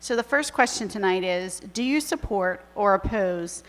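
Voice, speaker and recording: A woman moderating a candidate forum, reading questions in a measured way with fillers. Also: medium pitch, expressive, big-room sound.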